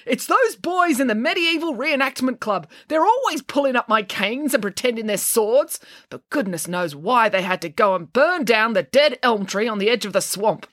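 A woman voicing a blustering, angry retired army groundskeeper in an audiobook, yelling with indignation. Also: fairly high pitch, expressive, moderate pace, few pauses, no room sound, clean studio sound, voice pushed up. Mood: indignant, accusing.

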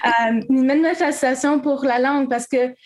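Young woman, fairly high voice, hesitant, fillers and restarts. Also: moderate pace. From Quebec. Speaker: student panelist. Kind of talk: casual spontaneous answer.

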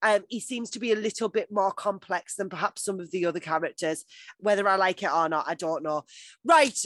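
A woman's voice: mid-range voice, a little fast, fairly bright tone, no room sound. Chatty, sweary woman co-host, dry recording. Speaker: podcast co-host. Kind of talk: casual chat, TV recap.

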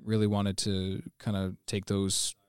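A man's voice: lowish voice, monotone, a little slow, a little mellow, clean tone, no room sound, clean studio sound. A man in his twenties with an American accent, giving enthusiastic, explanatory answers in a conversational interview as a teacher. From United States. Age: 20s. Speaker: ESL teacher, interview guest.

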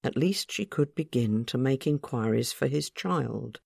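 A woman reading literary fiction aloud as a scripted narration, in a measured, careful storytelling manner. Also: rumbling low, animated, vocal fry, a little mellow, breathy edge, dry recording, clean studio sound. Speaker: author reading own short story.

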